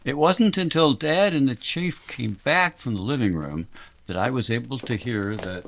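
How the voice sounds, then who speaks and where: An actor playing a reflective narrator telling a recollection in old-time radio style. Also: male voice, mid-range voice, lively intonation, moderate pace, creaky, a bit bright. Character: reflective male narrator recalling a town tragedy. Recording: music behind the voice. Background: music.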